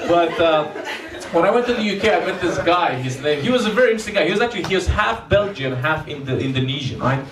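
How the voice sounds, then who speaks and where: A man's voice: a little high, expressive, fast talker, background noise. A man with a Romanian accent, a stand-up comedian hosting an open mic, blunt and crude as he teases the audience. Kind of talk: crowd work stand-up. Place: comedy club with live audience. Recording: roomy club sound, distant mic, crowd.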